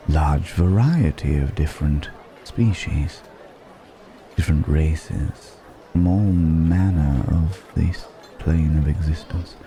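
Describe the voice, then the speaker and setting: Male dragon in disguise, played by a man, suave and gently mocking, extremely low and dark, for intimate fantasy ASMR with musing pauses. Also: full character voice. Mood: curious, probing. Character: suave, probing male dragon posing as a curious stranger. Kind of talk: ASMR roleplay, intimate dramatic monologue. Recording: background music and crowd murmur.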